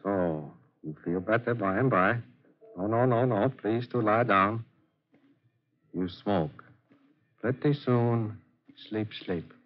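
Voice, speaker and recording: An actor playing a polite, coaxing Chinese opium den keeper, speaking broken English with a stylised Chinese accent in 1940s radio style. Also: male voice, lowish voice, slow pace, long pauses. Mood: soothing, insistent. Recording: dull, noisy 1940s radio recording.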